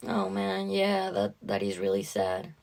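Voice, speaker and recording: Woman podcast co-host with creaky voice. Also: medium pitch, sing-song, unhurried, a little mellow. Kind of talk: conversational story discussion.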